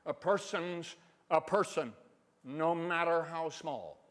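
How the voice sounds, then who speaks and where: A man giving a theatrical stage reading, with a deliberate, expressive storyteller's delivery. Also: fairly high pitch. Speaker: professor giving a dramatic reading. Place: stage in a hall, indoors. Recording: reverberant hall.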